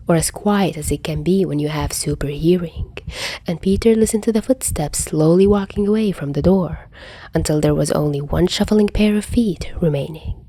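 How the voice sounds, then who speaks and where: A woman narrates a scripted story. Her voice is mellow and a little low, and the recording is close and dry. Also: husky, dry recording, low-quality recording. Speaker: podfic narrator.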